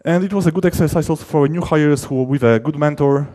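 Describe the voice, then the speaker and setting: Mellow-voiced man giving a talk. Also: fairly high pitch. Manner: emphatic, halting, often rephrasing mid-sentence. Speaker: conference speaker, software developer. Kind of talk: technical conference presentation, informal.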